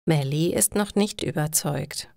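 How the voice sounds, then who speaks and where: A woman narrator with a slightly low voice reads a story for learners. Her delivery is very slow, careful and deliberate, and the sound is dry and close. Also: creaky, a little mellow, no echo. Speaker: narrator of language-learning stories. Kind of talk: scripted narration for language learners.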